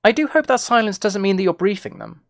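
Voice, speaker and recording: A man's voice: sits high, expressive, quick pace, no room sound, clean studio sound, pitched above the performer's own voice. Here is a man playing a woman who runs a spy mission from afar in a storybook thriller. The voice is raised and precisely pronounced, urgent and distrustful in temper. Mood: urgent, wary. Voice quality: muffled, well-pronounced. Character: urgent, wary, well-spoken woman mission handler over earpiece.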